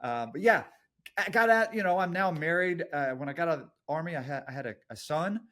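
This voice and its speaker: A man's voice: a little high, expressive, a little fast, pushed and bright. A middle-aged man with an East Texas accent, a guest telling his life story in a jokey, self-deprecating way. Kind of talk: casual storytelling interview.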